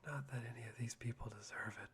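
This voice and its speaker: A man voicing a polite, brooding young man in a soft, halting, breathy whisper for an ASMR roleplay. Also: mid-range voice, fairly even intonation, slight background noise, lightly acted. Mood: reverent, musing.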